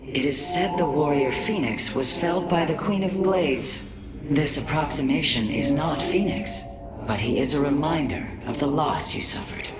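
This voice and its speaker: An actress playing a wise, calm female alien adviser in a video game cinematic, with a solemn, formal delivery. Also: low-pitched, normal speed, lightly acted. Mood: reflective. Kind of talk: dramatic game cinematic acting. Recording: echoing voice under loud game music. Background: loud cinematic music.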